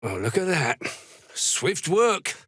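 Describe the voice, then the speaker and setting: An actor voicing a gruff, callous duergar slave hunter in a video game, blunt and threatening, with a gravelly voice. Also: male voice, on the high side, big pitch swings, slow, fairly bright tone, no room sound, studio quality, heavily acted. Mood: gruff, sardonic. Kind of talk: video game character voice lines.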